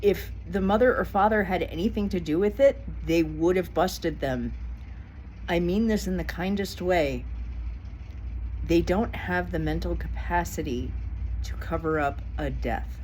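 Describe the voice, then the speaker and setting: A middle-aged woman talks straight to her viewers in a casual commentary vlog. She is blunt and indignant and swears without holding back. Her voice sits a little low, with a faint hiss of noise behind it. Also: a little slow, darkish tone, poor audio. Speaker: vlogger.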